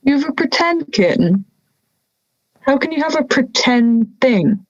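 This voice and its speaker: A woman's voice: sits high, expressive, measured pace, no room sound, lo-fi sound, full character voice. A young woman playing a touchy, wry, harmless talking wolf in an audio drama, indignant and teasing. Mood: puzzled, teasing.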